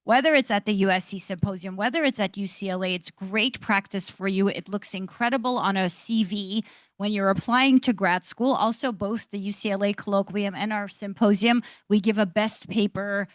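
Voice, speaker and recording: A woman panelist addressing students with articulate enthusiasm. Her pitch sits in a middle range, and the sound is dry and close, with no room echo. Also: steady tone, moderate pace. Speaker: institute director, panelist. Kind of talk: panel discussion answer. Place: lecture hall panel event.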